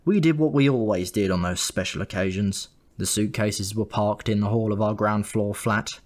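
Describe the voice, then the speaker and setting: Low-voiced man narrating a wry story. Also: moderate pace, a little mellow. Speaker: audiobook narrator. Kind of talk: first-person comic storytelling, scripted narration.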